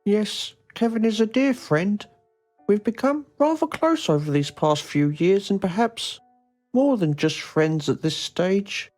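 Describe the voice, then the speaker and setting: A man's voice: sits high, expressive, a little slow, darkish tone, faint music behind. A man voicing an earnest, melodramatic, lovelorn shut-in in a comedy game. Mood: coyly confiding. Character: eccentric, lovelorn grown man grounded in his bedroom. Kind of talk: mockumentary game acting, dramatic reading.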